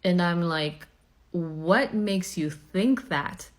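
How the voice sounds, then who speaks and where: A woman from Chile telling a story to camera in a deliberate, deadpan way. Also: fairly low pitch.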